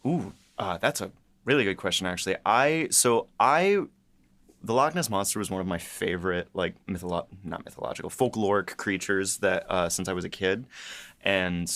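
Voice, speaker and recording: A man's voice: deep voice, expressive, moderate pace, fairly bright tone. A man talking casually and enthusiastically as a host and writer in a behind-the-scenes chat. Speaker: podcast host and episode writer.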